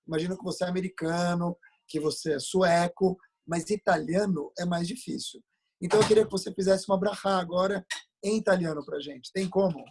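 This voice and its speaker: A man with a husky voice, hosting an informal comic interview on a video call in a teasing, interrupting manner. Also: high-pitched, steady tone, brisk, a little mellow.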